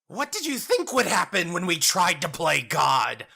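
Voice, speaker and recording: A man voicing a haughty young male zealot preacher in an audio drama, ranting in a shrill, booming screech. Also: huge pitch swings, unhurried, gravelly, pushed and bright, husky, full character voice. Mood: outraged screaming.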